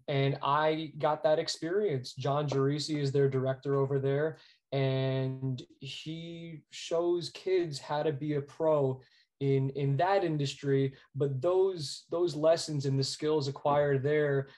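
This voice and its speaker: A man's voice: fairly high pitch, a little mellow. An American man telling stories as an interview guest, earnest and emphatic, often repeating words as he goes. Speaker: guest, sports arena announcer. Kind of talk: conversational interview storytelling.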